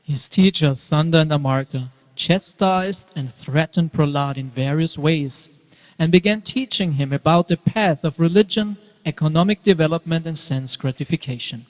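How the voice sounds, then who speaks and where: Male narrator in a reverberant hall. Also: fairly high pitch. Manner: measured, storytelling. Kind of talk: scripted dramatic scripture narration.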